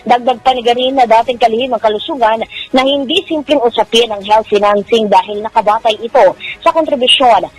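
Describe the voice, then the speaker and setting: A male radio reporter giving a brisk, formal news report that ends with a sign-off tagline. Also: extremely high pitch, soft background music, low-quality recording. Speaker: field reporter.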